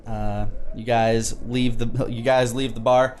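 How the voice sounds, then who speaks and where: A man's voice: mid-range voice, little pitch movement, slow pace, darkish tone, a little hiss or hum. A man working as game master, improvising narration of a tabletop game in a casual, conversational way. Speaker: game master, podcast host.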